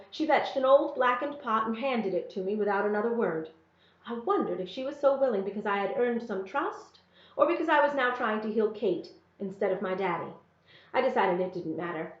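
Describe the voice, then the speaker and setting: A woman reading a first-person story aloud as narrator, in a measured, expressive storybook manner. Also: on the high side. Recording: roomy, slightly dull sound.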